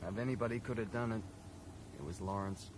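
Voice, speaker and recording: A man's voice: on the low side, fairly even intonation, a little fast, natural voice. Actor as grieving man, noisy radio drama. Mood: resigned, wistful. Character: grieving, loyal married brother, candid and resigned.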